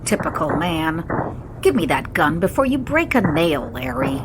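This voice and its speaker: A woman's voice: deep voice, sing-song, moderate pace, noisy background. A woman playing a tough, scornful drug runner who taunts a man.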